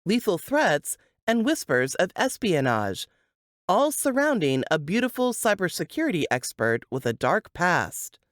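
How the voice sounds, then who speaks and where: A woman's voice: deep voice, no room sound, studio quality. A woman reading a book blurb as scripted narration.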